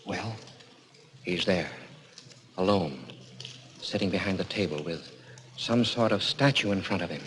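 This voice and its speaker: An actor playing a watchful man leading a hushed search in a 1940s radio drama. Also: deep voice, unhurried, long pauses. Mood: tense, hushed. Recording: noisy old radio recording.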